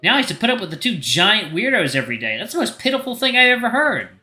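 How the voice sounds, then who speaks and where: Sulky teen girl, male performer, prickly and scornful, high and sharp, for audio drama. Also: wildly swooping pitch, measured pace, echoey room, exaggerated voice. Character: pouting, jealous teenage younger sister, snide and prickly.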